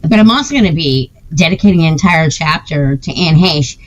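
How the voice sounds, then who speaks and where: Woman, low-pitched, emphatic, insistent, casual podcast chat, dull lo-fi audio. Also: expressive, brisk. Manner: emphatic, insistent, repeats points.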